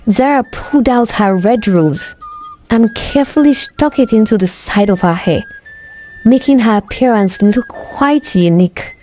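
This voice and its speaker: A woman narrating a scripted folktale in a dramatic, deliberate storytelling manner. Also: fairly high pitch, mellow.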